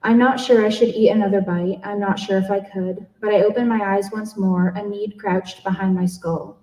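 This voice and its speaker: Mellow-voiced woman reading in an echoey room. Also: medium pitch, fairly even intonation, moderate pace. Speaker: contest winner reading own story. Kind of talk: literary story reading, expressive. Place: library event room with audience. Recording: echoey room, distant microphone.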